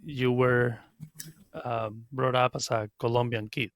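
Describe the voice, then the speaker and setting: Male host, english, short questions, mid pitch, dry close sound. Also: flat delivery, a little slow, no room sound. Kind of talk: interview questions.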